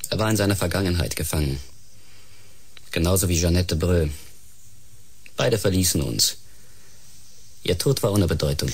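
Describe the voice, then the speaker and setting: An actor playing a cold, menacing male leader in a sci-fi radio drama, measured, imperious and husky. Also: low voice, heavily acted.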